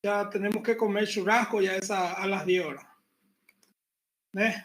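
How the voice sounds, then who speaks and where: A man teaching an online university class, relaxed and chatty, repeating his points and joking with the students. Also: high-pitched, moderate pace. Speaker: university pathology lecturer. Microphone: computer mic on a video call.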